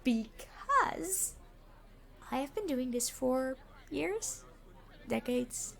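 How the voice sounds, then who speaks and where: A woman playing a weary immortal supervillain in an ASMR roleplay, an exasperated boss who is gentle with hostages, speaking formally with dry sarcasm. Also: high voice, big pitch swings, extremely slow, gravelly, full character voice. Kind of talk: scripted ASMR roleplay acting.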